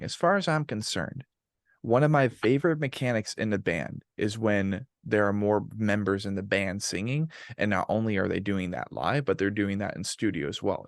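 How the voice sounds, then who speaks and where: A man hosting a podcast interview who talks in an excitable way, laughing often, swearing and calling his guest "bro". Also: fairly low pitch, dry recording, clean studio sound. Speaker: podcast host and vocalist.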